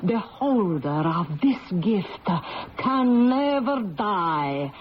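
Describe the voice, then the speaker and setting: A very slow, solemn old seer. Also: female voice, mid-range voice, very animated. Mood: solemn, insistent. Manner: slow, portentous, incantatory. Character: dying old Italian fortune-teller woman, solemn and commanding. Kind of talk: 1970s radio drama acting. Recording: muffled, faintly noisy old radio broadcast.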